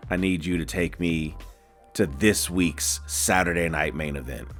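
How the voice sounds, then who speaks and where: A man's voice: sits low, unhurried, a bit bright, faint music behind. A man co-hosting a casual wrestling chat, talking in laid-back, drawling banter. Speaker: co-host.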